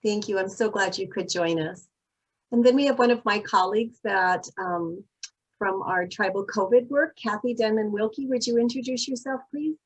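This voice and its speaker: A woman's voice: mid-range voice, moderate pace, husky, poor audio. Woman running a zoom meeting, welcoming folks one by one, mid voice. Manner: warm, gracious introductions. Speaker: meeting host. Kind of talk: online meeting facilitation. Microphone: video call.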